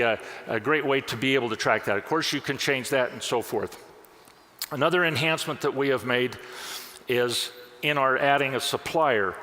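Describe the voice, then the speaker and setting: A man giving a conference software demonstration, walking through screens step by step in an upbeat, explanatory way. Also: mid-range voice. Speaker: product demonstrator. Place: conference session room. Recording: long hall reverb.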